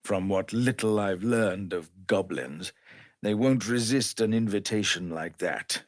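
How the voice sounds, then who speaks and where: An actor playing a weary, dutiful leader of refugee outcasts in a video game, speaking formally and earnestly. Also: male voice, on the low side, unhurried, dry recording, studio quality, close to an everyday voice. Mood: grave, weary. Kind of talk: dramatic video game voice acting.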